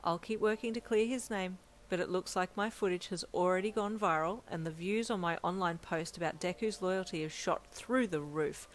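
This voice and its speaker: A woman reading a story, voicing a cheerful, kind male chief editor with a bright, upbeat manner. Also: on the low side, normal speed, hardly any pauses, natural voice.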